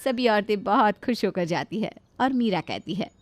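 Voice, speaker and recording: A woman's voice: mid-range voice, lively intonation, moderate pace, faint music behind. A woman reading scripted narration for a family moral story.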